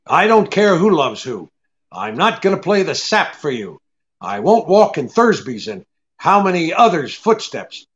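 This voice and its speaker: An actor playing a cynical, unyielding private detective in a 1940s-style radio drama, with terse, halting tough talk. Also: male voice, on the high side, big pitch swings, fairly bright tone, muffled recording. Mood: bitter, firm.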